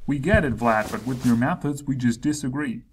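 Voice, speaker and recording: A man's voice: medium pitch, normal speed, noisy background, close to an everyday voice. A male actor plays a self-righteous American statesman in a satirical verse play. He speaks in rhyme with a stern, oratorical delivery and a diplomat's formality. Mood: disapproving.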